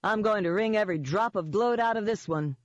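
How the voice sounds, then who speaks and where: A woman's voice: medium pitch, fairly quick, dry recording, dull audio, voice pushed up, lightly acted. A woman voicing a smug, gloating man in an audiobook, cheerfully taunting and firmly in control. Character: smug, gloating man wheeling and dealing by phone.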